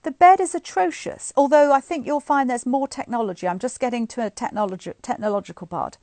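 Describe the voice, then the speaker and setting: A middle-aged woman reading a book aloud to online listeners in an expressive, informal, chatty way. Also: on the high side, fairly quick, dry recording. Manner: informal, chatty asides between expressive read passages. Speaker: guest reader of a bedtime story series. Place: indoors at home, on a live online stream.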